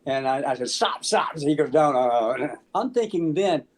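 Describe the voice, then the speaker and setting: An American man in his seventies casually telling anecdotes in an animated, halting way, acting out the dialogue. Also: fairly high pitch. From Memphis, United States. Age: 70s. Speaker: interview guest, musician. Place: small room at home, on a video call.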